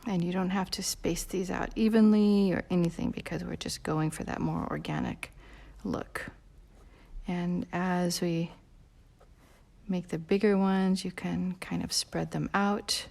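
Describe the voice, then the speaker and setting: A woman leading a live drawing tutorial in a relaxed, rambling way with many fillers, sometimes dropping to a soft near-whisper as she works. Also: on the low side, unhurried, gravelly. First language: not Portuguese. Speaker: drawing instructor.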